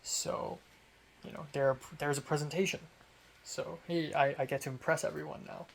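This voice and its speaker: Young man, chatty and rambling, casual vlog, slightly high voice. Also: fairly even intonation, normal speed, vocal fry, slight background noise. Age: young adult. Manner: chatty, rambling, self-amused. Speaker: college student vlogger.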